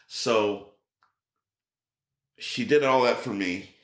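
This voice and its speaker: A middle-aged man telling a story in casual chat, acting out quotes with a raised voice. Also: extremely slow. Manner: animated, acts out quotes with raised pitch. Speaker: podcast guest. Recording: roomy echo.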